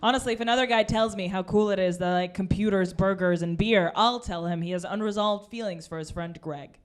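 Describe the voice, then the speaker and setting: A woman in her twenties from Russia, doing a stand-up comedy set with a deadpan, ironic delivery and quick asides. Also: mid-range voice, smooth. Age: 20s. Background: audience laughter.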